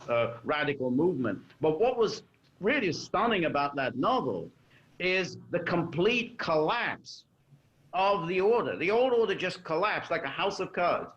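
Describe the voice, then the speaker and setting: Measured man, fairly high, slightly muffled. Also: expressive, fairly bright tone. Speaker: panel guest commentator. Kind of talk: political panel discussion. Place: remote call for a quarantine show. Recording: dull lo-fi connection.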